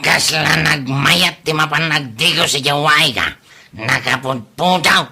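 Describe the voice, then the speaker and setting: A boastful uncle from a comedy drama, acted by a man, forever exclaiming and repeating himself. His voice is very sharp and husky, and he takes his time over the words. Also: a little high, measured pace, soft background music, exaggerated voice. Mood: blustering. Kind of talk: Ilocano comedy drama acting.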